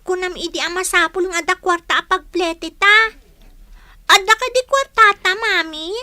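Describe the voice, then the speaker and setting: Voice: very high-pitched, normal speed, sharp tone, no echo, exaggerated voice. A performer playing an innocent, inquisitive small child in a radio drama, asking things in a plaintive, childlike way. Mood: anxious, curious. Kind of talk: Philippine radio drama acting.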